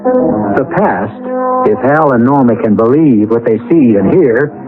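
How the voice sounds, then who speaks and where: A man's voice: mid-range voice, animated. Portentous male mystery host over music. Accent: American. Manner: portentous, deliberate, dramatic pauses. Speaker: host and narrator. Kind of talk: scripted mystery narration, radio theater. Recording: muffled old radio broadcast. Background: prominent dramatic music.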